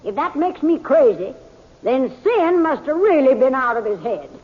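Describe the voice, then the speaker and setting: A woman's voice: high voice, big pitch swings, moderate pace. An actress playing a stubborn old frontier woman who owns a silver mine and answers bluntly and defiantly, in a 1950s radio drama. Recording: echoey dull old radio recording.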